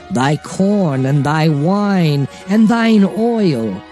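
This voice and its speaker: A man playing a solemn prophet exhorting his people, declaiming archaic scripture with deliberate phrasing in a dramatized Bible reading. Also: high-pitched, dark tone. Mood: solemn, promising. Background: music.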